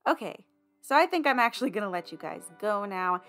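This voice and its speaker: Very animated woman host over music. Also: mid-range voice, brisk. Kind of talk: casual episode introduction. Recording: music under the voice.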